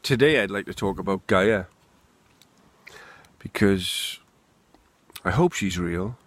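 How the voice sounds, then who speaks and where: A man's voice: sits low, lively intonation, slow pace. A Welsh man rambling candidly and swearing freely in an unscripted monologue, recorded outdoors in the wind. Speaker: vlogger.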